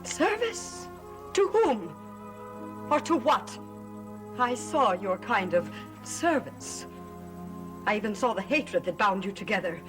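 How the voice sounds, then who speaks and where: Witches' daughter: wounded but defiant, slow dramatic delivery, gothic soap with music underneath. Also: medium pitch, big pitch swings. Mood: bitter.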